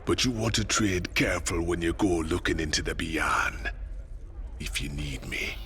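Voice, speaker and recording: An actor playing a mysterious male mercenary who speaks in a hushed, breathy, cryptic way. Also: sits low, moderate pace, faint music behind. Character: mysterious, knowing male mercenary with psychic insight.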